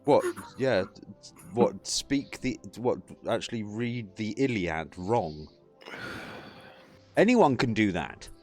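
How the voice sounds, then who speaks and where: A man's voice: on the low side, big pitch swings, slow pace, a little mellow, soft background music. A man hosting a casual podcast chat, sardonic and given to swearing, reading out chat comments.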